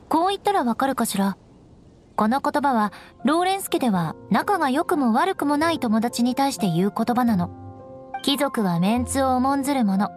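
Cool young noblewoman, high voice, aloof, composed, instructive, anime game.

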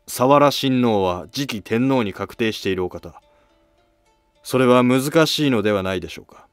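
A man's voice: mid-range voice, expressive, normal speed, clean tone, no room sound, faint music behind, lightly acted. A man playing an ailing, wary old noble who masterminds plots, with terse archaic speech, in a history drama. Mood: wary, puzzled.

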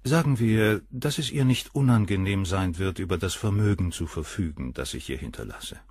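A cold, cynical famous surgeon. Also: male voice, low-pitched, moderate pace, darkish tone, breathy edge, no echo, studio quality. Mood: cool. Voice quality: cool, cynical, dismissive. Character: cold arrogant famous male surgeon, middle-aged. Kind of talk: radio play acting.

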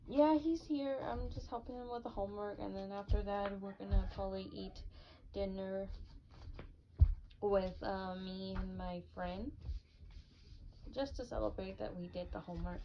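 Woman doing amateur asmr girlfriend roleplay, kinda halting, repeats herself, muffled audio w lots of noise. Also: mid-range voice, fairly even intonation. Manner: hesitant, halting, repeating words. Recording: noisy, muffled lo-fi home recording.

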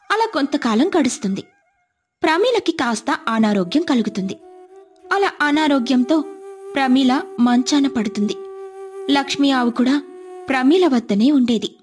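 A woman's voice: sits high, darkish tone, husky. A woman storyteller reading a scripted moral tale as narration.

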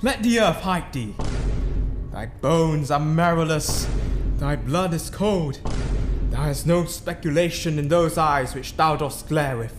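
A man's voice: a little high, slow pace, over music. A man playing a guilt-haunted, boastful and terrified king in a theatrical reading of a Shakespearean tragedy. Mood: terrified, raving. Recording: noisy amateur recording.

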